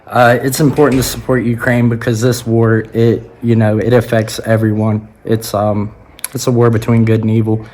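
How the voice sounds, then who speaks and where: Grave young man, monotone, faint ambience. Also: lowish voice, moderate pace. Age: young adult. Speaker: guest supporter.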